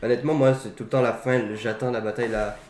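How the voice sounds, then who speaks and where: A man's voice: mid-range voice, fairly even intonation, fast talker, darkish tone. A man co-hosting a casual talk show about films. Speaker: co-host of a film talk show. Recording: roomy echo, faint background noise.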